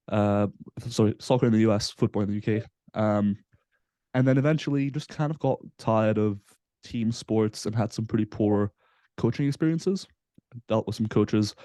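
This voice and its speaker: Low, mellow-voiced young man from Belgium. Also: no room sound. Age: 20s. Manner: relaxed, explanatory, frequent fillers. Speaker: guest. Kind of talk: casual podcast interview. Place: Southampton, UK.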